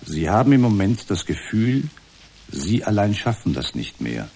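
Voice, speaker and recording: An actor playing an unflappable, formally polite therapist in a satirical radio drama, speaking in measured, stilted counselling phrases. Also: male voice, on the low side, sing-song, dark tone, breathy edge, full character voice. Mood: formally soothing. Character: unflappable automated male therapist, formally polite, echoing the patient.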